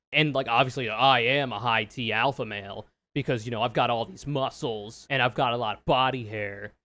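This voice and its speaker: Man, low voice, casual reaction commentary, blunt, profane, rambling explanations. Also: fairly bright tone, no echo. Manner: blunt, opinionated, swears freely; explains in loose run-on sentences with "like" and "right?". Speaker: streamer reacting to a video.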